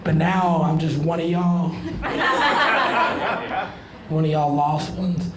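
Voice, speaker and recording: A raspy man in his thirties rambles through a stand-up set, his voice sitting fairly high for a man. He talks into a handheld mic in a small club, and the room rings back around him. A live crowd laughs loudly between his lines. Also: flat delivery, takes it slowly, echoey room. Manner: loose rambling delivery with filler "like". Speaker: stand-up comedian. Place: small comedy club stage with live audience. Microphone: handheld stage mic. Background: audience laughter.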